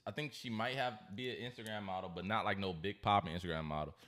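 A man's voice: lowish voice, quick pace, fairly bright tone. A young American man in a casual podcast debate with friends, insistently repeating his points into a close microphone. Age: young adult. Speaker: podcast host. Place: living room, on a couch. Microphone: podcast microphone on a stand, close.

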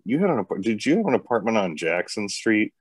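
A man's voice: fairly low pitch, big pitch swings, quick pace, slightly rough, no room sound. A man in his forties, a tattoo artist guest, talking in a rambling way with many fillers over a video call. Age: 40s. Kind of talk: casual interview chat. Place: indoor room. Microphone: webcam on video call.

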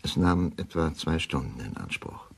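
An actor playing a troubled middle-aged male doctor, narrating a crime radio play in a grave, measured, deliberate way. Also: extremely low pitch, expressive, breathy edge, full character voice. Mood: measured, sombre. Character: troubled male doctor recounting a suspicious death. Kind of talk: first-person radio drama narration.

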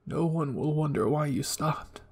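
A man voicing a wounded, remorseful young villain in an anime roleplay, speaking softly in a halting, weary way. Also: fairly high pitch, vocal fry, breathy edge. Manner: halting, weak, pleading. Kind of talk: anime ASMR roleplay acting.

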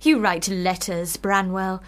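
An actress in a period radio drama playing a lovelorn, sharp-witted sister, speaking with formal, deliberate, cutting irony. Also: female voice, mid-range voice, fairly even intonation, lightly acted. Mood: mocking.

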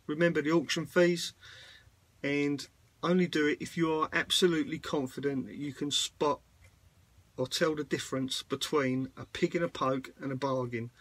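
A man's voice: a little high, fairly bright tone, no room sound. A man vlogging casually outdoors beside parked vans, talking in a rambling, plain-spoken way with plenty of fillers. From United Kingdom. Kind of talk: casual walkaround vlog.

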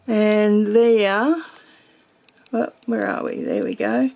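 Woman vlogger, slow and gravelly, mellow tone; casual home pantry tour, filmed handheld. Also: mid-range voice, steady tone. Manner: slow, meandering, thinks aloud with fillers. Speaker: homesteading vlogger.

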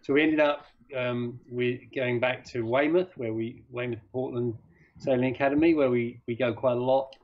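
Male guest, halting and self-correcting, muffled video-call sound. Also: mid-range voice, fairly even intonation. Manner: hesitant, many restarts. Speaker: sailing class chairman, guest. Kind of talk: casual video-call interview. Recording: dull video-call audio.

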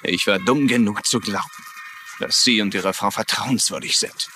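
A man's voice: fairly low pitch, normal speed, over music, close to an everyday voice. An actor playing an imperious, slippery male dealer in a TV crime drama, formal and haughty. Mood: demanding, affronted. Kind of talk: German-dubbed TV crime drama acting.

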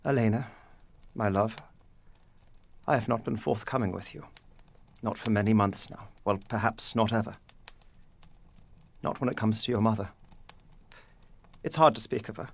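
An actor playing a weary widowed father in a period audio drama, speaking earnestly and haltingly in formal phrasing. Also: fairly low pitch, gravelly. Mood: grieving, guilty.

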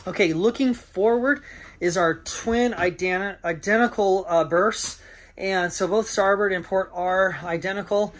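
Higher-voiced man touring a sailboat. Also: a little slow. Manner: relaxed, frequent "uh" fillers, listing features. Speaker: yacht broker giving a walkthrough tour. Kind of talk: casual walkthrough narration. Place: aboard a sailboat in a marina, on deck and below. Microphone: handheld camera, first-person view.

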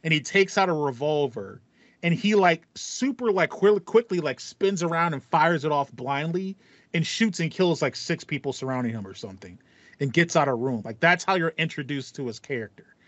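A man's voice: on the high side, lively intonation, moderate pace, fairly bright tone, dry recording. A man in his thirties with an American accent, co-hosting a casual podcast chat with slangy, storytelling asides. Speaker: podcast co-host. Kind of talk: casual chat about video games. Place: small home room with foam wall panels. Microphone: headset, video call.

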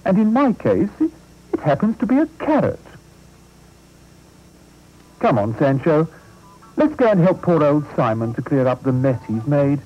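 Man doing a chirpy little critter, wise and cheerful, high voice, storybook. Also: very animated, measured pace, long pauses, highs cut off.

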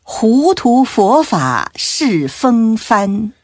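Very slow woman reciting verse. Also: fairly high pitch, dry recording. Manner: measured, expressive. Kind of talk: solemn poetry recitation.

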